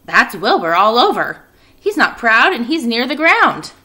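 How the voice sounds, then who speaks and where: A woman voicing a gentle, wise female spider in a storybook, speaking deliberately and instructively. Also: a little high, big pitch swings, pitched above the performer's own voice, exaggerated voice. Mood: calm, pleased.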